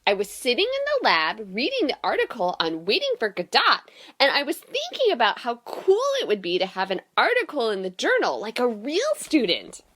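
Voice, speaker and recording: A woman playing an eager, excitable young female student assistant in an audio drama, gushing and keen to please. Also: fairly high pitch, moderate pace, exaggerated voice. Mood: eager, hopeful.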